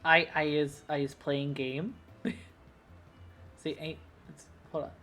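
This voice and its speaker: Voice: mid-range voice, little pitch movement, slow pace, fairly bright tone, faint music behind. A young adult streamer from the United States chatting casually at a desk mic, rambling with lots of fillers and laughing easily. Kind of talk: casual livestream chat.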